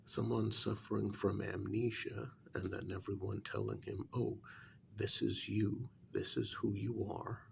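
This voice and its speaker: A man acts out a gentle, reasoning male confidant in a vampire ASMR role-play. The voice is soft and fairly low, full of creaky fry, and often sinks into a whisper. He speaks very slowly and tentatively, weighing each phrase. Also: little pitch movement, slight background noise. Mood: pensive, reassuring. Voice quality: soft, breathy voice dropping into whispers. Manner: hesitant, measured, gently reasoning.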